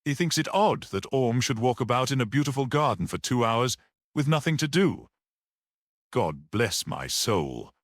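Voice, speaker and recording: A man voicing a mild, absent-minded, good-humoured priest in a mystery audiobook, musing and gently explanatory. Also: mid-range voice, animated, moderate pace, no room sound, studio quality, voice pushed up, natural voice. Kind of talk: audiobook character reading.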